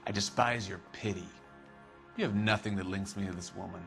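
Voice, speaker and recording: An actor playing a bitter, defiant American vagrant and ex-Marine killer in a video game, speaking in cold, contemptuous pronouncements. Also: male voice, fairly low pitch, steady tone, brisk, creaky, a bit bright, faint music behind. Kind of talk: video game cutscene acting.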